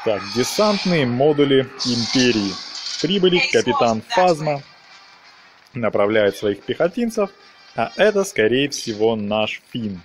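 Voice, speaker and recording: A man's voice: medium pitch, big pitch swings, a little slow, background noise. A man giving a casual gameplay walkthrough, talking viewers through each step in slangy, diminutive-laden wording. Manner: talks viewers through steps, fond of diminutives and slangy words. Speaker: video game let's player.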